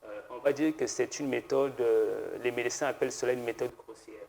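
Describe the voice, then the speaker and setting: A man, a pharmacist guest on a TV studio set, explaining slowly and hesitantly with many fillers and restarts. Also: medium pitch, creaky, a little mellow, poor audio. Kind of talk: explanatory TV interview answers.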